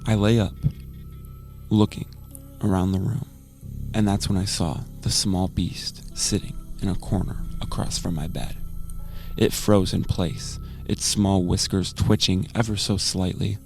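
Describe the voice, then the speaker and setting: A man acts out a cold, haunted male researcher telling a horror story for a radio drama. His voice is deep and crackles with fry. The delivery is measured and brooding, like a grim first-person confession. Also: steady tone, a little mellow, faint music behind. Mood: tense, horrified.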